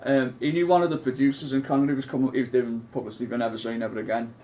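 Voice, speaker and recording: A man's voice: mid-range voice, fairly even intonation, very fast, mellow, a little hiss or hum. A man, co-hosting a casual film chat, rambling with many restarts and asides. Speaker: co-host.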